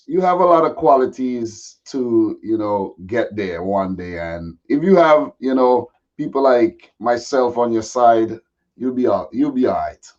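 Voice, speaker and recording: A man with a slightly raspy voice chatting casually and in a laid-back way as a guest football pundit. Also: mid-range voice, animated, unhurried, a little mellow. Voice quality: a little raspy. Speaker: guest football pundit, Manchester City fan. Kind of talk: casual chat. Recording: dull, lo-fi audio.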